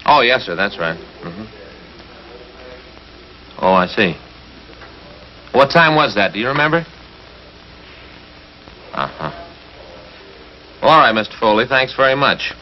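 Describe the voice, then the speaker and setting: An American actor in middle age playing a weary, laconic police detective in a 1950s police drama, speaking in a low-key way. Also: male voice, big pitch swings, slow, pauses often. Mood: businesslike, weary. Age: middle-aged. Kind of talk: 1950s police drama acting, terse dialogue. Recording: dull, slightly noisy 1950s film soundtrack.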